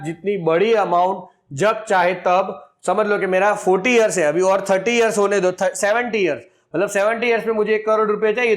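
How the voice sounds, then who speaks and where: High-voiced man explaining money plans. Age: 40s. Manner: addresses viewers as friends, works through figures step by step. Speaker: financial advisor. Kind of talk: explaining an insurance plan to viewers.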